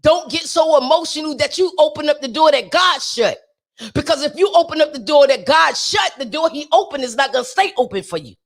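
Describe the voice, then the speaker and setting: Raspy high-voiced woman preaching fervently. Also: expressive, a little fast. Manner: fervent, repetitive, emphatic, speaks straight to viewers. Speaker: singer sharing a testimony with viewers. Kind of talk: preachy motivational livestream talk.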